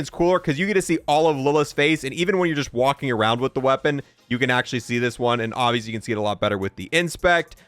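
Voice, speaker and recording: A man's voice: on the high side, a bit bright, no room sound. A man giving casual, opinionated gameplay review commentary in an informal, reactive way. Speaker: gaming content creator, host.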